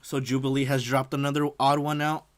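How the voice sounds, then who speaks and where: A man from the United States talks his way through a reaction stream in a loose, rambling way. He keeps circling back on filler words. His pitch sits mid-range for a man. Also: little pitch movement, a little fast. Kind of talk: casual reaction commentary.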